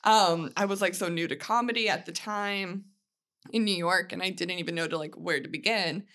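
A woman's voice: on the low side, normal speed, fairly bright tone. A woman comedian chatting casually and jokingly as a podcast guest, with lots of "like" in her talk. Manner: chatty, joking, heavy use of "like". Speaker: stand-up comedian and sketch writer, podcast guest. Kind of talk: casual chat.

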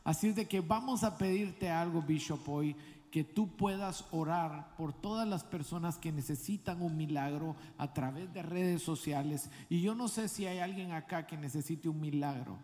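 A man's voice: fairly high pitch, normal speed, mellow, in a large hall. A man hosting a live church program, announcing with energy. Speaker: host.

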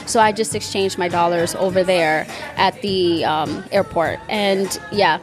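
A woman's voice: on the low side, steady tone, a little slow, over music. A woman with a Dominican accent, a podcast guest, casually and frankly telling a travel story in a bar. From Dominican Republic.

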